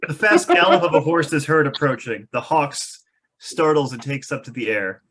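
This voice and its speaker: A man's voice: on the high side, normal speed, a loud crowd. A man reading stage directions as the narrator of a scripted table read.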